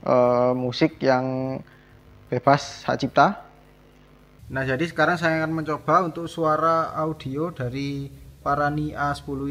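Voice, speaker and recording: A man casually narrating a hands-on tutorial, explaining step by step as a vlogger. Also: medium pitch. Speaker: vlogger demonstrating a product installation. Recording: dull lo-fi sound, music behind.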